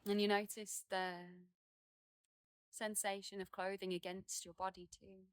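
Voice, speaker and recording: Woman gently guiding meditation, very even pitch. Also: smooth, no room sound. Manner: gentle, unhurried phrasing with many pauses. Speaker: meditation guide. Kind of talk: guided meditation, slow and soothing instruction. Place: outdoors in a garden.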